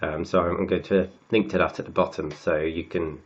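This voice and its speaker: A man with a cold, croaky and sniffly, working slowly through textbook maths and often repeating words as he writes. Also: sits low, level tone, dull audio. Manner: halting, repeats words while writing. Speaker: maths tutor.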